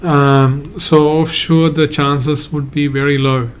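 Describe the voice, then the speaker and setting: Sri guy hosting a live q&a, kinda high voice, pretty monotone, lots of pauses. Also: a little hiss or hum. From Sri Lanka. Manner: halting, hedges often, reads out viewer questions. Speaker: migration agent hosting a live Q&A. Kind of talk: live-stream Q&A, answering viewer questions.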